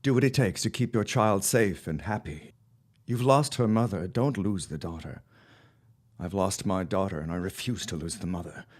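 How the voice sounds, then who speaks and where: A man's voice: low voice, moderate pace, darkish tone. An actor playing a grieving, guilt-ridden father of the early twentieth century, torn and doubtful, in an audio drama. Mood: grieving, subdued.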